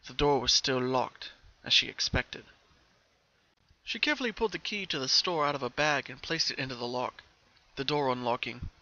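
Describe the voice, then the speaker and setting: Bright, expressive story narrator, slightly muffled recording. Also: medium pitch, normal speed.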